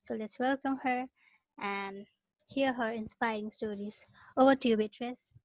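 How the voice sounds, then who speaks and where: A woman's voice: a little high, fairly even intonation, unhurried, no room sound. Polite woman hosting a webinar, dry sound. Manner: polite, formal introductions. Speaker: webinar host. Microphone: video call.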